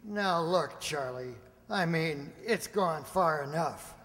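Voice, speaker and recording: Man acting a high-voiced, condescending busy supervisor. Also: lively intonation, slow, a bit bright, slight background noise. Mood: businesslike, dismissive. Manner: brusque, patronizing, flustered stammering. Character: busy, condescending, skeptical male lab security supervisor. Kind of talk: radio drama acting. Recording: reverberant hall-like amateur recording.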